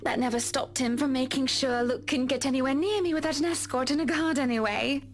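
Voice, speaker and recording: A woman's voice: sits high, fairly quick, close to an everyday voice. A woman voicing a poised, genteel rich lady. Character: poised, genteel wealthy woman, confident and fond. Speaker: game character. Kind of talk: voiced visual novel dialogue.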